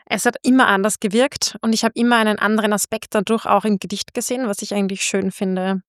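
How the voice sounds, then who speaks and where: A woman's voice: medium pitch, fairly even intonation, fast, dry recording, clean studio sound. A woman talking casually about literature as a podcast host.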